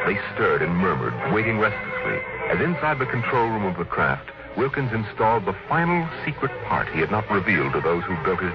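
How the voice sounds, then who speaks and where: An actor as a solemn storyteller, narrating a 1950s radio drama in a dramatic style. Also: male voice, low voice. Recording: lo-fi old radio broadcast. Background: loud music.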